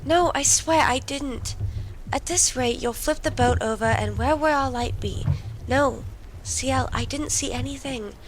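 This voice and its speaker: A woman's voice: high-pitched, a little slow, poor audio. A woman playing an earnest, wounded, devoted boy in an audio drama, speaking imploringly and intimately. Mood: pleading, earnest. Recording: faint background noise and ambience.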